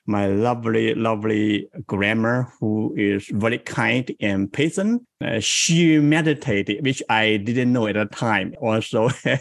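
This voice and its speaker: A man with a Chinese accent speaks at an unhurried, deliberate pace. He is a guest in an interview, thinking aloud as he tells his memories. The sound is close and dry, with little room around it. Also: fairly low pitch, creaky, dry recording. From South China. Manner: slow, thoughtful, reflective storytelling. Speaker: guest, improv coach. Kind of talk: interview conversation.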